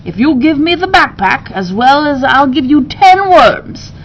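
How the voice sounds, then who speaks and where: A woman's voice: high voice, very animated, a little slow, lo-fi sound, a little hiss or hum, voice pushed up. A woman voicing a chatty, protective male bird shop keeper from a storybook, with folksy, ungrammatical speech. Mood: businesslike.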